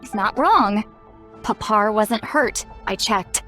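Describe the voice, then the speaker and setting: A woman's voice: medium pitch, lively intonation, slow pace, fairly bright tone, soft background music. A woman playing a blunt, self-assured, protective female spellcaster in a video game. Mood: blunt, unbothered.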